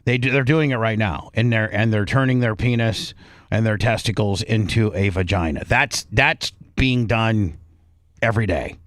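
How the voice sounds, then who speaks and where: A man hosting a talk radio show, giving casual commentary in a halting, repetitive way with frequent restarts. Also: fairly low pitch, fairly bright tone, no room sound, studio quality.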